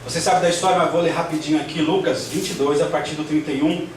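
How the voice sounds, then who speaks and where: A man's voice: a little high, fairly even intonation, fast. A Brazilian man preaching a sermon as a pastor, with emphatic, repetitive phrasing. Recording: distant, very echoey room, faint noise.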